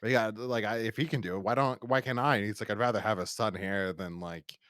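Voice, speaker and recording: A man's voice: fairly low pitch, brisk, a bit bright, smooth, no room sound, studio quality. A man hosting a podcast, talking casually in a rambling, opinionated and emphatic way as he reviews. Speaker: podcast host.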